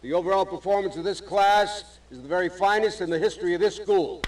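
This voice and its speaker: A man playing a brisk, authoritative Navy commanding officer giving clipped briefings in a video game. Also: sits high, darkish tone, a little hiss or hum, close to an everyday voice, low-quality recording. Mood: formal, proud. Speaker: actor in a video game cutscene. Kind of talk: military briefing dialogue.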